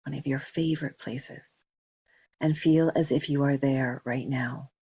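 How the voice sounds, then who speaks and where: Female meditation guide, soft husky breathiness, gentle spaced-out phrasing, dry but dull lo-fi sound. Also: rumbling low, fairly even intonation, no echo. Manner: slow, gentle instruction with long pauses. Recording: dull, muffled lo-fi recording.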